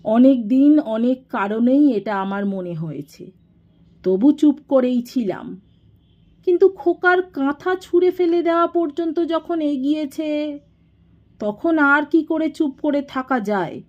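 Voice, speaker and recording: A woman's voice: on the high side, lively intonation, takes it slowly, warm tone, dull audio. A woman voicing a grave, resentful younger brother in an audio storybook, speaking in a pointed, accusing way. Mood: grave reproach.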